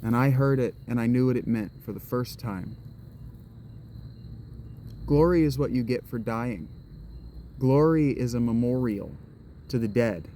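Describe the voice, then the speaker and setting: A man's voice: medium pitch, a little slow, pauses often, warm tone, slight background noise. A man talking to his camera on a walk in public, rambling and restarting his sentences as he muses aloud. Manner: rambling and restarting, drifting into musing. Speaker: vlogger. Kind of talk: rambling spoken vlog monologue. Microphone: camera held while walking.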